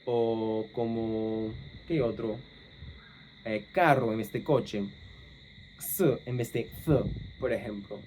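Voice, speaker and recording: A man's voice: medium pitch, a little mellow, a little hiss or hum, low-quality recording. A young English man, a native English speaker, talking casually to camera in Spanish with an Argentine-leaning accent, thinking aloud with many fillers and self-corrections. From England. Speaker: language-learning vlogger.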